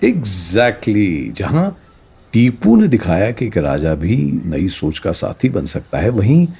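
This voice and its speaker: Mellow, animated history teacher. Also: male voice, fairly low pitch, takes it slowly, vocal fry, breathy edge, full character voice. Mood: explanatory, keen. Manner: didactic, rhetorical, peppered with English words. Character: learned male teacher explaining history to a student. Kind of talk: scripted educational radio play dialogue.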